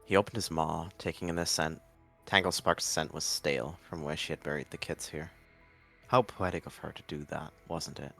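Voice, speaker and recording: A man's voice: extremely low pitch, steady tone, normal speed, vocal fry, fairly bright tone, faint music behind. A man narrating an animal fantasy roleplay as a storyteller.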